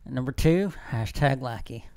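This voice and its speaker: A man's voice: medium pitch, animated, normal speed, warm tone. A man co-hosting a podcast in casual conversation. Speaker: podcast co-host. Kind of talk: casual chat.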